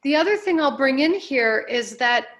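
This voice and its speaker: A woman being interviewed as a life coach, giving advice in deliberate, emphatic phrases with measured repetition. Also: high-pitched, animated, a bit bright.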